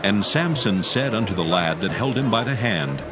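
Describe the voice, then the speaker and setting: Male narrator for dramatized scripture: solemn, measured storytelling in a lower register over clear music. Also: lively intonation, crowd noise.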